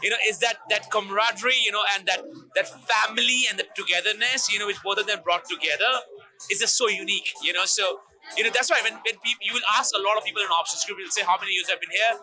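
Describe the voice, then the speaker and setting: Fast-talking earnest male executive. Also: high-pitched, bright, forceful tone, low-quality recording. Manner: earnest, hesitant, many "you know"s and restarts. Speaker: company executive. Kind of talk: casual interview answers.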